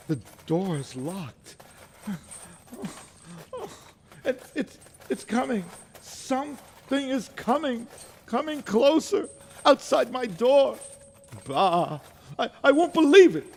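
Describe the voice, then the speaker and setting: Crotchety old male miser, very high and wildly swooping, raspy, stammering, fearful, radio drama, long reverb. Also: big pitch swings, slow pace, a little mellow, a little hiss or hum, heavily acted. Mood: fearful, defiant. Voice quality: gravelly, raspy. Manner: quavering, stammering, theatrical exclamations.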